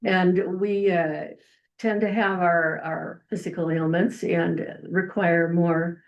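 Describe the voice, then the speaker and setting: Older woman, loud and folksy, low-ish voice, rambling public comment. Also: fairly even intonation, slow, lo-fi sound. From Eldora, Iowa. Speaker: resident giving public comment. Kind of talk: public comment at a county meeting.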